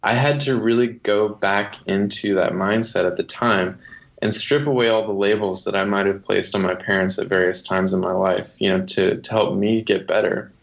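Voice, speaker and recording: Male author guest, on the low side, for literary reading and interview talk; muffled recording. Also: fairly even intonation, moderate pace, vocal fry. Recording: muffled, dull lo-fi sound.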